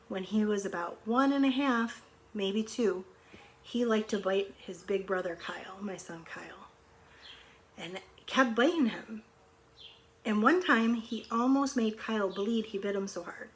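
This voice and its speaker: A middle-aged woman reads a picture book aloud to young children, pausing to explain simply and ask questions in a conversational way. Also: medium pitch. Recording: clearly noisy home recording.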